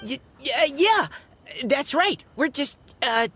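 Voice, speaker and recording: A woman's voice: high-pitched, expressive, slow pace, a bit bright, full character voice. A woman voicing a shy, earnest young boy in a video game, hesitant and stammering nervously. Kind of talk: animated video game character acting.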